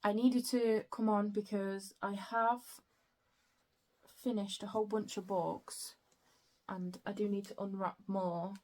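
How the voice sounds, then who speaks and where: A young woman chatting casually about her reading in a book vlog, her throat a bit croaky, with frequent soft fillers. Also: medium pitch, steady tone, a little slow. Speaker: book vlogger.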